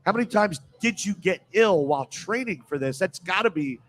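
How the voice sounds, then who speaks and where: A man's voice: high voice, lively intonation, fairly quick, fairly bright tone. A middle-aged man talking casually as a panelist on a sports radio show. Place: live radio show at a casino.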